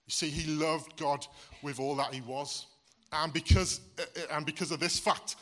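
Man preaching, Midlands accent with a Welsh lilt, bright voice, fairly high, conversational, chuckles often. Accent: English Midlands with a slight Welsh lilt. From England, raised in Wales. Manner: conversational, chuckles often, frequent "you see". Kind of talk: sermon.

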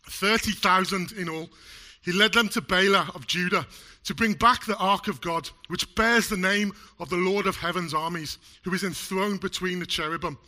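Bright-voiced preacher. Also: male voice, sits high. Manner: chatty, jokey, loose with fillers. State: recovering from a chest cold. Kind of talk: sermon. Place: church hall.

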